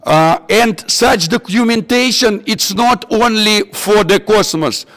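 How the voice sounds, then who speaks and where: A man with a high voice and a non-native English accent leads an informal technical workshop. He speaks slowly and haltingly, often repeating himself while he looks for the next word. The recording rings with the long reverb of a hall. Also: a bit bright. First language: not English. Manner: halting, repetitive, searching for words. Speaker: workshop instructor.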